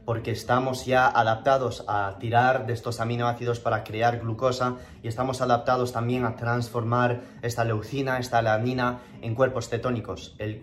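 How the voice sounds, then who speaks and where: A man in his thirties who grew up in France, speaking Spanish with a French accent as a keto diet coach on a live stream, casual and rambling with many fillers and restarts. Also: medium pitch. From southern France, Spanish parents.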